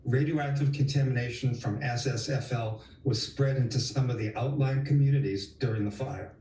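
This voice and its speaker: A male scientist explains findings in an interview with a soft-edged, mellow tone. The recording is strongly echoey and slightly far from the mic. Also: medium pitch, steady tone, normal speed. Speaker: scientist, research lead. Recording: echoey, distant sound with faint noise.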